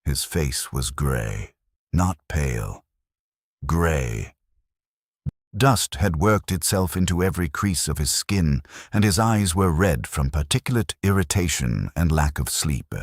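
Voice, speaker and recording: A man reading scripted first-person war fiction in a grave, measured manner with short clipped sentences. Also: a bass voice, lively intonation, no echo, studio quality. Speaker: narrator of science fiction war stories.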